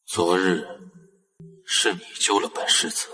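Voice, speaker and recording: A man acts a haughty young nobleman from an animated drama, with a low, forceful voice. He is pompous and utterly sure of himself. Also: a little slow. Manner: pompous, self-important. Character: haughty young nobleman heir, proud and self-assured. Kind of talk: animated web drama acting.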